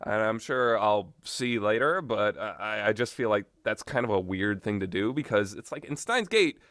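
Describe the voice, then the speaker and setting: A man's voice: on the low side, expressive, normal speed, a bit bright, clear, resonant tone, dry recording, studio quality. A man giving casual, rambling commentary with strong opinions and an emphatic way of talking. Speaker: video host.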